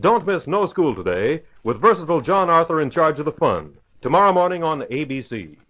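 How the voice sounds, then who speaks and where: A man's voice: on the high side, big pitch swings, fairly quick. A man reading a cheerful, upbeat promotion for a children's show on old radio. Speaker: announcer. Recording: muffled old radio broadcast.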